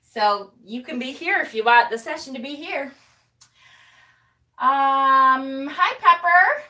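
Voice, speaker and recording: A woman chatting loudly and cheerfully with viewers of her live stream from a small room at home, standing away from the camera. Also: sits high, expressive, takes it slowly. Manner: loud, chatty, upbeat. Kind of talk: casual live-stream chat greeting viewers. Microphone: camera set a few feet away. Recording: voice a little distant from the mic.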